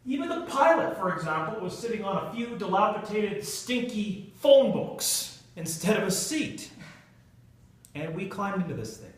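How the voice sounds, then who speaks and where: A man giving a humorous storytelling speech to an audience in a theatrical manner, with dramatic pauses and stretched emphasis. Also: high-pitched, sing-song. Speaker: Toastmasters club speaker telling a humorous tale. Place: indoor meeting room with an audience. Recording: echoey room, recorded from far off.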